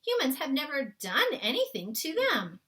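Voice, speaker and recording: A young storybook girl, played by a woman reader, questioning and stubbornly earnest. The voice is high and bright, with a troubled, protesting tone. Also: wildly swooping pitch, moderate pace, full character voice. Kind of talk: children's storybook read-aloud.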